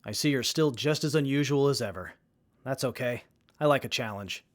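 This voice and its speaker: An actor playing an earnest, keen young mechanic in an audio drama, with a slightly hesitant, apologetic manner. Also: male voice, mid-range voice, fairly quick, lightly acted. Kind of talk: post-apocalyptic audio drama.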